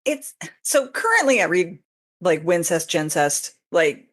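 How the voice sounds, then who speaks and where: A woman chatting casually as an interview guest, informal and expressive, voicing her own reactions. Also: fairly low pitch, a little slow. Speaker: interview guest, fanfiction author. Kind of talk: casual chat.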